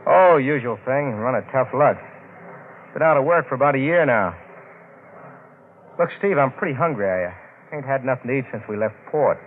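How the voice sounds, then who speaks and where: A man's voice: medium pitch, animated, moderate pace, long pauses, lightly acted. A male radio actor voices a sly stowaway down on his luck, slangy and hesitant as he wheedles. The old drama recording is tinny, with a faint bed of noise. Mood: wheedling.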